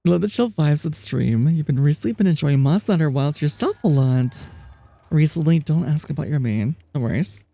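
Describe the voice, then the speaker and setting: Live streamer, casual chat, gravelly edge, dry close sound. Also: mid-range voice, a little fast, mellow, no room sound. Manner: chatty, laughs often, reads chat aloud; frequent restarts and fillers.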